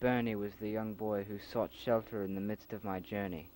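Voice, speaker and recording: Man with soft reflective narration. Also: on the low side, fairly even intonation, normal speed, slight background noise, low-quality recording. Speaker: narrator and creator. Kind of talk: scripted narration in an amateur audio drama.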